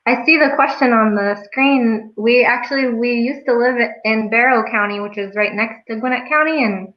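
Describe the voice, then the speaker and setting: Female guest, casual talk, a little high pitched, muffled and roomy video-call sound. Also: moderate pace. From Florida, United States. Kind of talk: casual chat. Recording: echoey, dull video-call audio.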